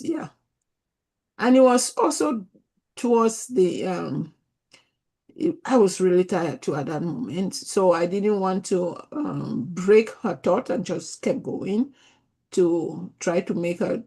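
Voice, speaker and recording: A woman's voice: fairly low pitch, breathy edge. A woman from Africa, a chaplain in a peer review group, who talks through her thoughts in broken, restarting phrases. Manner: warm, restarting, thinks aloud in fragments. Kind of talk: group discussion.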